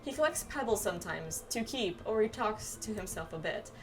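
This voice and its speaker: A woman plays a gentle, wistful young clanswoman from a fantasy video game. The lines come a little haltingly, as read-aloud dialogue at a middling female pitch. The mic is slightly distant and music plays underneath. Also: expressive, natural voice. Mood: fond.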